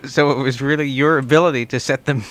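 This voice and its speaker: A man's voice: mid-range voice, fairly even intonation, quick pace, no room sound. A man working as a radio interviewer. His voice sits in a medium register, and he speaks conversationally, as a host talking with a guest on air.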